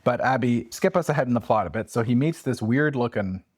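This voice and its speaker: A man with a mid-pitched voice and a dry, close sound co-hosts a relaxed book discussion. He moves between reading aloud and playful, joking commentary. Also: fairly quick, dry recording. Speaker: podcast co-host reading a classic aloud. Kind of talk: casual book chat with dramatic read-aloud passages.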